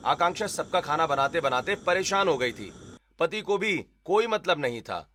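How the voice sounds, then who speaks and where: A man's voice: a little high, animated, normal speed, a bit bright. A man narrating a scripted domestic family story in Hindi.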